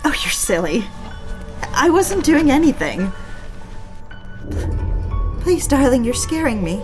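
A woman's voice: high-pitched, very animated, slow pace, heavily acted. An actress playing an anxious, deferential wife who keeps trying to placate her menacing husband in an audio drama. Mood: nervous placating. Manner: nervous, appeasing, deferential. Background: music bed.

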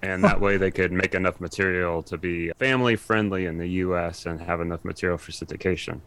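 A man's voice: low-pitched, a little fast, fairly bright tone. A middle-aged man, chatting casually and explaining things as an expert guest.

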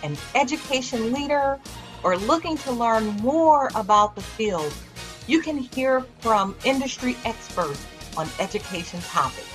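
A woman delivers a bright, upbeat scripted opening for an education podcast in a promotional tone. Soft music plays faintly behind her voice. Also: on the high side, expressive, unhurried. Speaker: podcast co-host, education director.

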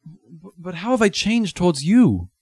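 Ambitious young gentleman, acted by a man, period radio play: defensive, formal, argumentative, very dry sound. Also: high-pitched, very animated, unhurried, slightly rough, dry recording, heavily acted. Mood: wounded. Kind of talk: theatrical radio drama acting.

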